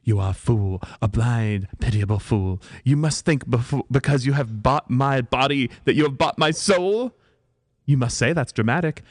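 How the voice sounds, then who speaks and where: A man acts out a vain retired British stage actress, a mother of grand gestures in speech. The delivery is melodramatic and swoops widely in pitch, as in a stage comedy read aloud, recorded dry and close. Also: animated, normal speed, dry recording.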